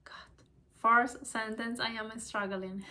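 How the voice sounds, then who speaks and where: A woman whose first language is Spanish, with a Spanish accent, reading spiritual lessons slowly and hesitantly, adding her own reflections. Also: fairly high pitch, fairly even intonation, sharp tone, slight background noise. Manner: hesitant, apologetic, with small laughs and restarts.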